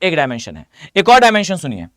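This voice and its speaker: A hoarse man with an Indian accent teaching a live online class, often asking students to reply. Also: a little high, wildly swooping pitch, fast, fairly bright tone, no echo, studio quality. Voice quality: mixes Hindi with English phrases. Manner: addresses students directly, asks them to reply.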